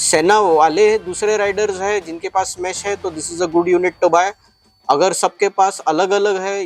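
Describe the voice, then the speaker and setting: A man with a fairly high-pitched voice walks through a product step by step. He explains casually, slipping into English phrases as he goes. Faint music sits behind his voice. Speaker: product reviewer and shop presenter.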